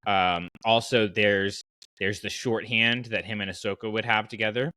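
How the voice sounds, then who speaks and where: A man hosting a casual fan podcast, talking in an animated, rambling way as he thinks aloud. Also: lowish voice, moderate pace, smooth, dry recording. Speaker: podcast host.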